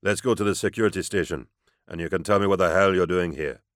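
A man's voice: low voice, quick pace, dry recording, natural voice. A big male lawman from a sci-fi audiobook, as a male narrator plays him. He is grizzled, menacing and commanding, with clipped orders in a dry, close sound. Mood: gruff, suspicious. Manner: curt, commanding. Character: big, grizzled male armed security enforcer, curt and menacing.

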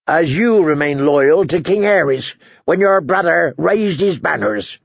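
Man playing lowborn fantasy knight, blunt, earnest, husky and gravelly, slow emphatic phrasing, dull recording. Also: very animated, dry recording, full character voice. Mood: defiant.

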